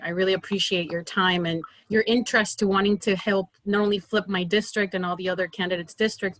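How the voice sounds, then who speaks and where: A woman in her thirties, from Peru, making an earnest campaign pitch on a video call with many fillers and restarts. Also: fairly bright tone, dry recording, poor audio. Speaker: political candidate.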